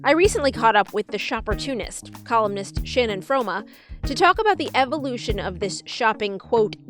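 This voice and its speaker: A woman's voice: a little high, animated, moderate pace. A woman podcast host delivering scripted narration to introduce segments. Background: music.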